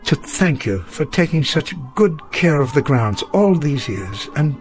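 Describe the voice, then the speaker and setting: A man's voice: a little high, sing-song, a little slow, a little mellow, faint music behind. An older man acting in a radio drama as a weary old professor full of regret, speaking in a formal, literary way. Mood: gentle, resigned. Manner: formal, literary, reflective speech.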